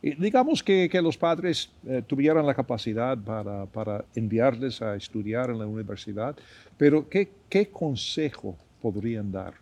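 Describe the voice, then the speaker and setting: A man hosts a conversational interview podcast with a mid-pitched voice. He builds long questions thoughtfully, pausing and restarting as he finds the phrasing. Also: animated, a little mellow.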